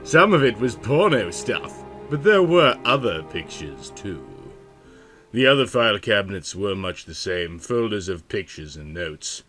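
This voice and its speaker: A man's voice: fairly low pitch, big pitch swings, a little slow, fairly bright tone, soft background music. A man narrating a story in a theatrical, comic reading style, with dramatic, emphatic phrasing.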